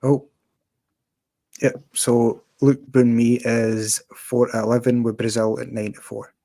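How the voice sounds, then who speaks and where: A man's voice: mid-range voice, little pitch movement, unhurried, gravelly, mellow, no room sound. A man on a fight picks panel, reading out odds in a brief matter-of-fact way. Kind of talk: casual betting picks chat.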